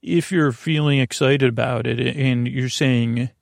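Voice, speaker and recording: Male bedtime podcast host, gravelly fry, dry close sound, rambling and self-interrupting delivery. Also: medium pitch, fairly even intonation, unhurried, dry recording. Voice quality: creaky, gravelly. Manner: meandering, self-interrupting, trailing off mid-thought.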